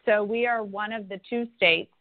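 A woman's voice: mid-range voice, fairly even intonation, moderate pace. A congresswoman hosting a telephone town hall, answering questions in a conversational way. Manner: conversational, with frequent restarts and fillers. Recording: dry, tinny phone line.

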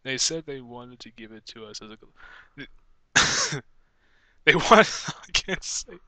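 A man's voice: medium pitch, big pitch swings, slow pace, bright, forceful tone, dry recording, low-quality recording. A young man recording amateur voice acting takes, stumbling over his script and swearing in exasperation. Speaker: amateur voice actor. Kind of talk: reading script takes for a fan animation, breaking off to swear.

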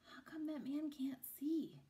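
A woman's voice: sits high, animated, slow pace, dark tone, pitched above the performer's own voice. A woman voicing a questioning, slightly wistful young boy from a storybook in colloquial speech. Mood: plaintive, questioning.

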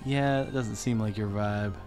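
A man's voice: lowish voice, a little slow, darkish tone, soft background music. A man in casual gaming chat, giving hints and encouragement as a co-player.

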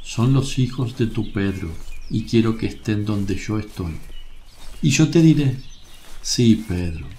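A man plays a serene master teacher in a religious radio play. His voice is very mellow and slightly low, with affectionate, gentle authority. Birdsong and some noise sit behind it. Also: lively intonation, a little slow. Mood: tender, solemn. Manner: gentle, solemn, reassuring. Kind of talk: religious radio drama acting. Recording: noisy, birdsong ambience behind.

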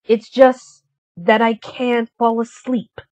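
A fussy, motherly storybook fairy, voiced by a woman reading aloud, speaks in a somewhat raised pitch. The recording is slightly dull. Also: little pitch movement, slow, a little mellow. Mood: apologetic. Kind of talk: children's storybook dialogue.

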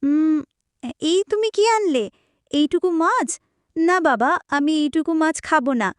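A woman plays a stubborn little village girl in a cartoon, high-voiced and pleading. The sound is very dry. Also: animated, a little slow, no echo. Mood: pleading, sulky. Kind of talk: cartoon voice acting.

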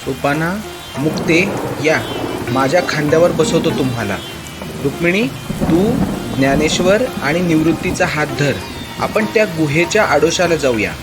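A man voicing a calm, caring father of four in an animated moral story, speaking in a steady, reassuring way. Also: fairly high pitch, normal speed, over music, natural voice. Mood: firm, caring.

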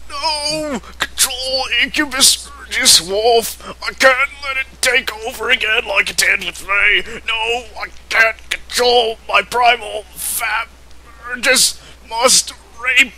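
Young man acting an overwrought, high-pitched storybook hero. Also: slow pace, exaggerated voice, low-quality recording. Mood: panicked, straining. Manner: overwrought, shouting. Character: tall excitable male hero, melodramatically panicked.

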